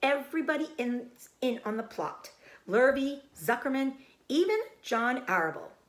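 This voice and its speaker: Elderly female sheep for a storybook, woman performer: raised pitch, blunt, snappish, world-weary. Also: expressive, moderate pace. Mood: bluntly gossiping. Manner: loud, blunt, matter-of-fact.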